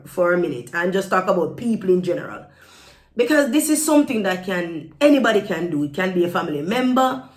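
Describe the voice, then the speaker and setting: Jamaican woman vlogging in an echoey room. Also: medium pitch. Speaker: vlog host. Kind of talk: casual opinion talk to camera. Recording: roomy echo with music behind.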